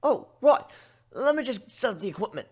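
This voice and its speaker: A man puts on an eager female cartoon pony, inquisitive and chatty. The voice is pitched well up, with very lively, excitable swings. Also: fairly quick, slightly rough, heavily acted. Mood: businesslike, eager.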